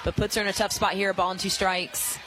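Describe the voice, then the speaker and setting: A woman giving live softball analysis as a radio color commentator, explanatory and opinionated. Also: mid-range voice, little pitch movement, fast, over music, a crowd in the background, rough recording.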